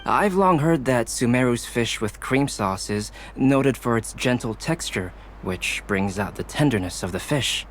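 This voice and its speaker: A man's voice: medium pitch, animated, unhurried, hardly any pauses, lightly acted. A composed young man of science, played by an actor in a game scene. He speaks formally and precisely in a mid-range voice, with faint noise behind him. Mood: explaining. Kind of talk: game cutscene acting.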